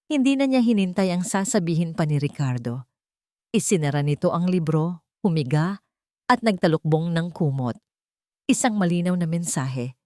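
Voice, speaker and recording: A woman's voice: on the low side, expressive, normal speed, dark tone, dry recording, studio quality. A woman reading a dramatic scripted story as narrator.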